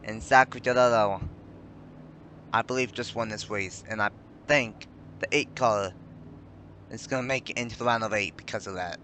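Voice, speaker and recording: A man's voice: on the low side, normal speed, long pauses, sharp tone, slight background noise. A man giving rambling, self-correcting play-by-play commentary on a simulated stock car race. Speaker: sim racing commentator.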